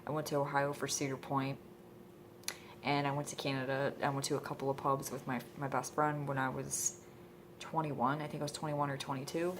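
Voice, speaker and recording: Late-20s woman, stuffed up with a cold, low voice, lots of um, bit of faint hiss behind. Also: little pitch movement, brisk. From Michigan. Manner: rambling, hesitant, full of ums. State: congested from a cold. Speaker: vlogger. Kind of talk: casual introduction vlog. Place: indoors at home.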